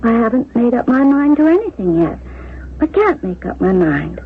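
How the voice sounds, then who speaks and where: High-voiced, spirited young woman. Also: very animated, moderate pace, slightly rough, phone-quality sound. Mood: torn, coy. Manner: proud, emphatic, girlish. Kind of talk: 1970s radio drama acting. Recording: thin, hissy 1970s radio recording.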